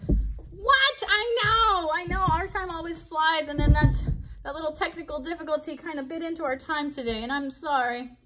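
A woman's voice: high voice, normal speed, noisy background. A woman in her thirties hosting a children's livestream, talking to young viewers in a playful, encouraging way. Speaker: children's puppet show host. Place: small home bedroom set, indoors.

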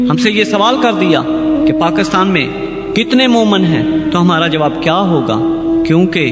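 A man playing an impassioned asylum escapee in a radio satire, declaiming and pleading against corrupt politics. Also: high voice. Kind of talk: radio drama satirical oratory. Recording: echoey hall reverb, loud music behind.

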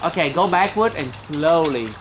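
A man's voice: on the high side, lively intonation, moderate pace. Man coaching kids at the pool. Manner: coaxing, instructive, teasing. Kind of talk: casual family coaching. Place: backyard pool outdoors. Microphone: handheld camera a few meters off. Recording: splashing water noise.

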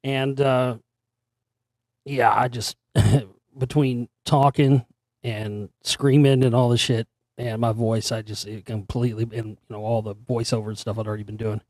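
A middle-aged man talks his way through a solo broadcast with a hoarse, worn-out voice that is only half back. His tone is dark and mellow, and the sound is dry and close, with no room around it. He rambles loosely, full of fillers and the odd swear word. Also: medium pitch, level tone, measured pace, no echo. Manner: rambling, conversational, frequent fillers and mild swearing. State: hoarse, voice recovering after straining it. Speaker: radio show host. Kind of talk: casual solo talk show monologue.

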